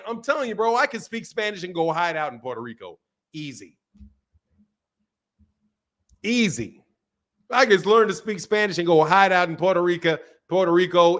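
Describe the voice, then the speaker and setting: Animated high-voiced man talking boxing. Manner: animated, swears freely, repeats himself. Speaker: boxing talk show host reading chat. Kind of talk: casual live-stream chat.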